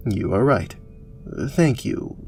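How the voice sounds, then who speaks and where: A man plays a determined knightly captain in a fantasy radio play. His voice is low and gravelly, his speech formal, dry and terse. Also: lively intonation, slow pace, a little mellow, faint music behind, exaggerated voice. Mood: relenting. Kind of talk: fantasy audio drama acting.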